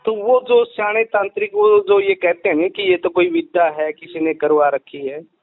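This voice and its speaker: A man's voice: high-pitched, animated, normal speed. A man, giving emphatic explanations as an expert in a phone interview, often repeating his phrases. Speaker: expert investigator of superstition cases, interviewee. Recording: tinny phone line.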